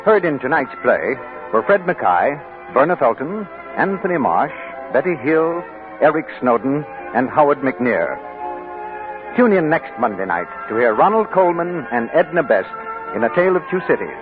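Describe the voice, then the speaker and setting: Crisp male announcer, lively intonation, tinny 1940s radio over music. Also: a little high. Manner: crisp, brisk, formal. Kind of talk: formal closing announcement.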